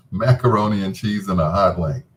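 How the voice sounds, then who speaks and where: Slow, low-voiced man hosting late-night radio. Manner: laid-back, folksy, teasing, chuckles easily. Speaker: radio host. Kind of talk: casual late-night radio chat with listeners.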